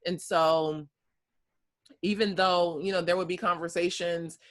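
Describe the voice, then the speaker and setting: A woman's voice: fairly low pitch, fairly even intonation, a little slow. An American woman in her thirties, a guest telling her story in casual conversation, with many fillers and easy laughs. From United States.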